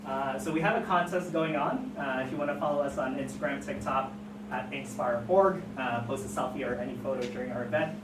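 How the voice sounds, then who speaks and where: An event host with a halting, informal way of speaking, making announcements to open a gathering. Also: medium pitch. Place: indoor event room, recorded from afar. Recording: echoey distant room recording, loud noise.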